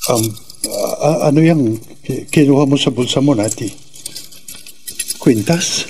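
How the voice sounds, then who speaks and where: A bewildered husband, played by a man, in a mid-pitched voice. He stammers anxiously in melodramatic radio horror style, with noise behind him. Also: darkish tone, close to an everyday voice. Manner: stammering, halting. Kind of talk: Filipino horror radio drama acting.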